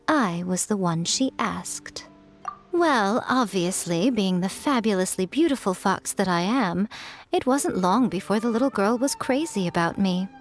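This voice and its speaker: A woman playing a self-assured, teasing fox spirit shrine maiden in a video game, with playful, coy and deliberate phrasing. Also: mid-range voice, lightly acted. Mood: smug, fond. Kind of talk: polished video game character acting. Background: music.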